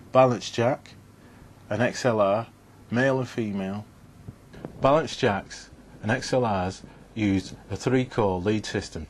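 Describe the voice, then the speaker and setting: A man's voice: fairly low pitch, a little hiss or hum. A man giving a scripted, methodical instructional narration in an explanatory way.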